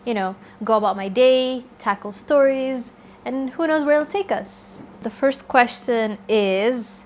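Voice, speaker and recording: A woman's voice: on the high side, slight background noise. A woman in her twenties, a journalist chatting candidly through a get-to-know-me Q&A, laughing easily. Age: 20s. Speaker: journalist and YouTuber. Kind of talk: casual get-to-know-me Q&A vlog.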